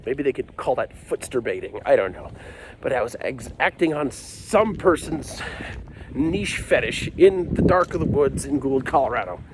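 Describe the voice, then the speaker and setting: A man's voice: medium pitch, expressive, moderate pace, noisy background. A middle-aged American man telling a comic personal story in a casual, rambling, self-deprecating way with frequent asides.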